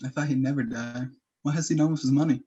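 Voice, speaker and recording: A man playing a callous, flippant businessman in a radio play, with a husky voice. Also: medium pitch, steady tone, fast talker, warm tone, natural voice. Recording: slightly muffled video-call sound.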